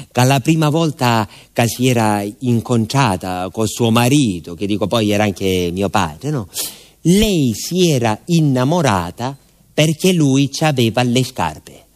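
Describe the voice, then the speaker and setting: Folksy southern Italian village postman. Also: medium pitch. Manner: folksy, confiding, with hesitations and repetitions. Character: naive, talkative village postman from southern Italy, wistful storyteller. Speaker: actor in a monologue. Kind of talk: theatrical radio monologue in colloquial storytelling.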